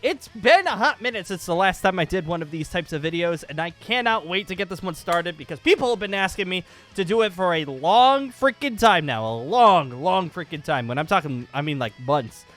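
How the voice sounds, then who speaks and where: A man hosting a casual, excitable gaming announcement vlog in a rambling, emphatic, informal way. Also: sits high, brisk. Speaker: YouTube host announcing a new series. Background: music.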